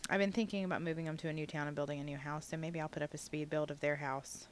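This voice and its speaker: A young woman with a low voice talks loosely over her gameplay, full of ums and side remarks. She sounds a little sniffly, as if her nose is stuffed. It is a home-recorded voiceover, relaxed and chatty. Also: quick pace, a little hiss or hum. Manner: rambling, chatty, many fillers. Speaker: gaming channel host. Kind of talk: casual voiceover commentary on a building game.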